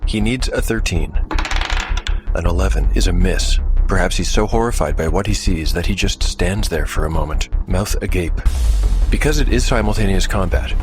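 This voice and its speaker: Low-voiced man narrating a tabletop adventure. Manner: theatrical storytelling, calling out dice rolls. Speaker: solo game narrator and player. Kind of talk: dramatic narration of a tabletop role-playing game.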